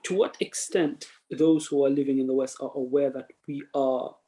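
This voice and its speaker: A man, interviewing in a halting way with many fillers and restarts. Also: medium pitch, flat delivery, warm tone. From East London. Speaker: interviewer, imam. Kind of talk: conversational interview.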